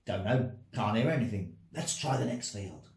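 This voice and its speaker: A man voicing a nervous young shepherd who talks in a croaky, hoarse way, in an echoey room. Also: fairly low pitch, expressive, normal speed. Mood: jumpy. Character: nervous young male shepherd, blunt and jumpy.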